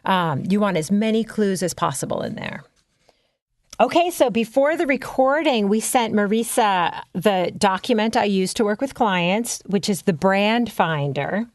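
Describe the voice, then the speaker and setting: A woman brand consultant talks through her coaching process in a loose, chatty way, filling pauses with ums and you-knows. Her pitch sits in the middle range, and the recording is dry and close with no room sound. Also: expressive, dry recording. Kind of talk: casual coaching chat.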